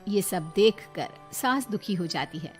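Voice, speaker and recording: A woman's voice: mid-range voice, expressive, measured pace, soft background music. A woman storyteller reading scripted narration for a moral story.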